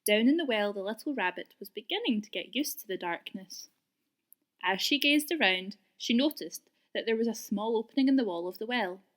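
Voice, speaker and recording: A woman reading a children's story aloud in an expressive, deliberate way. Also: mid-range voice. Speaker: storybook reader.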